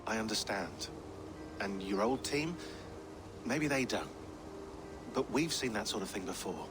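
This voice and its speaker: An actor playing a dry, sardonic research teammate in a video game, wry and a little pedantic. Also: male voice, fairly low pitch, lively intonation, a little slow, over music. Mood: firm, reassuring. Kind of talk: naturalistic video game acting. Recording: clear noise, ambience behind the voice.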